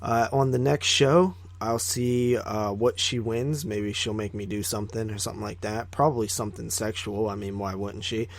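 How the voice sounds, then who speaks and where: A man's voice: fairly low pitch, steady tone, moderate pace, creaky, hardly any pauses. A man co-hosting a podcast in casual banter, swearing freely, teasing and given to exaggeration. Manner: profane, teasing. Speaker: podcast co-host.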